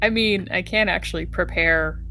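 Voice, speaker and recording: A woman playing a tabletop game, talking casually in a measured, halting way. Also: on the low side, sharp tone. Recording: music playing behind the voice.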